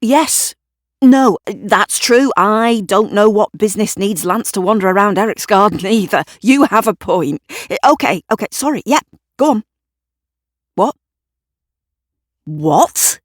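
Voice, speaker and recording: A woman's voice: medium pitch, unhurried, dry recording, clean studio sound, pitched above the performer's own voice, lightly acted. A woman playing an anxious, well-meaning male neighbour on the phone in an audio drama, hesitant and apologetic. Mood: flustered, hesitant.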